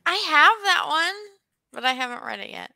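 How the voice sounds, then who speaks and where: A woman with a bright, sharp voice chatting playfully with a friend on a reading stream. She thinks aloud, trailing off with little fillers as she goes. The sound is dry and close. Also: high-pitched, animated, moderate pace, creaky, no echo. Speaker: guest on a reading livestream. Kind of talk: casual chat between friends.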